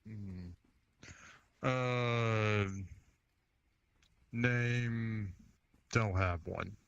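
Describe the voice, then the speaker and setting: A man's voice: lowish voice, extremely slow, vocal fry, a bit bright, smooth, no room sound. A man roleplaying a blunt, indifferent mercenary without a name, speaking in terse statements. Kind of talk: in-character improvised roleplay. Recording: dull lo-fi voice chat mic.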